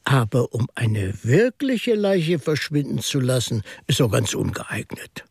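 An actor in a German radio drama playing a mild, shrewd priest-detective who reasons in a measured, reflective way. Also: male voice, mid-range voice, big pitch swings, vocal fry, a little mellow, slightly rough, no echo, clean studio sound, exaggerated voice. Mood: calm, probing.

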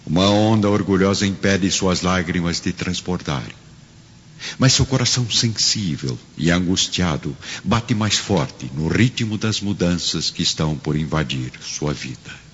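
A man's voice: deep voice, expressive, a little slow, fairly bright tone, slight background noise. A man narrating a radio drama in a solemn, literary, scripted style. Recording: dull audio. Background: music.

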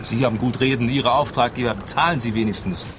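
An actor playing an inept, broke rookie private detective, self-important and pleading, in a comic radio play. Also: male voice, mid-range voice, quick pace, close to an everyday voice. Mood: whiny, haggling. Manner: whiny, haggling, self-important. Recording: clearly noisy outdoor scene ambience.

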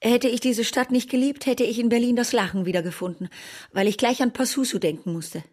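A woman's voice: on the high side, fairly quick, darkish tone, natural voice. An actress playing a bitter, sardonic woman survivor in a literary radio drama, speaking in pointed declarations.

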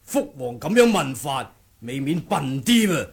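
A man's voice: medium pitch, big pitch swings, unhurried, slightly rough, exaggerated voice. An actor playing a smug, sneering henchman fighter with formal speech in a Cantonese radio drama.

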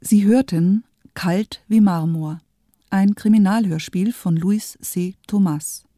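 A woman's voice: mid-range voice, takes it slowly, mellow, dry recording, clean studio sound. A woman reading a scripted announcement as a radio drama announcer. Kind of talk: scripted closing credits announcement.

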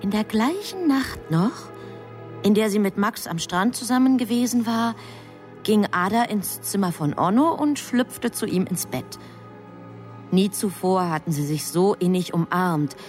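A woman playing a heavenly messenger who narrates human affairs in a radio drama, in a measured, literary manner. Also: medium pitch, animated, darkish tone, soft background music, natural voice. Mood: measured, reflective. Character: female heavenly messenger calmly recounting human love affairs.

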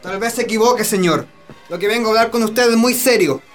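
Very high-pitched, earnest young Chilean peasant played by an actor. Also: male voice, a little fast, soft background music, lightly acted. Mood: solemn, resolute. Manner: respectful, pleading, solemn. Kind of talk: Spanish-language radio drama acting.

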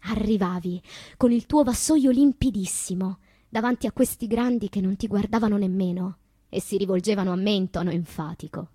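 An actress playing an anguished woman poet in a theatrical, declamatory monologue with long pauses. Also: mid-range voice, dark tone, breathy edge, no echo, clean studio sound, lightly acted. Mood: wry, bitter. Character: anguished woman poet recalling loves, madness and death. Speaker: stage actress.

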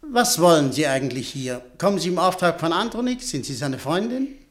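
A man acting a smooth, calculating middle-aged businessman in a German radio play, coolly polite. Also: a little high, sing-song, fairly quick. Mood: calm. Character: scheming middle-aged male press magnate. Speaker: businessman Buscan.

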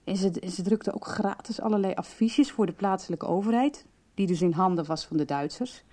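Radio soap: actress as calm, understanding female confidante and investigator, mellow tone, conversational storytelling. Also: mid-range voice, brisk, lightly acted. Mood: engaged, explanatory. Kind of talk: Dutch radio soap acting.

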